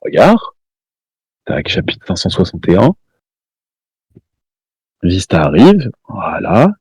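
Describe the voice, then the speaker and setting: A man's voice: low-pitched, huge pitch swings, slow, darkish tone, slightly rough, no room sound. A man streaming from home, arguing heatedly with a caller, repeating himself, talking over the other person and throwing insults. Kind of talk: heated live-stream argument.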